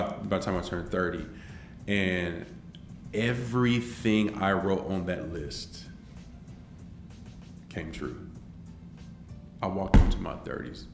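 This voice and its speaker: Low-voiced man in his forties, echoey room. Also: slight background noise. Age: 40s. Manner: earnest and rambling, many restarts and fillers. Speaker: interviewee. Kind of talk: filmed personal interview, reflective storytelling.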